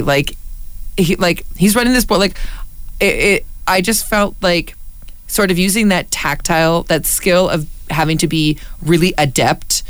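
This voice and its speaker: A loud, deep-voiced woman chats in a radio studio, stumbling through fillers and restarts. Her tone is smooth and resonant, and the studio sound is close and dry. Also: no echo. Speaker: community radio station staff. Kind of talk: casual radio conversation.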